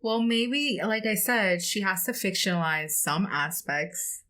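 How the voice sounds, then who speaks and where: A woman's voice: medium pitch, animated, unhurried, sharp tone. A woman co-hosting a pop culture podcast in a casual, conversational style. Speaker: podcast co-host.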